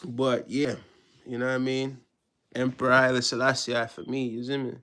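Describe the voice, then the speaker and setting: A man's voice: mid-range voice, completely flat delivery, moderate pace, fairly bright tone, a little hiss or hum. A man touched by talk, chatting casually on a live stream in a slangy way, often checking that listeners follow. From Jamaica. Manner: slangy, repeats check-in tag phrases.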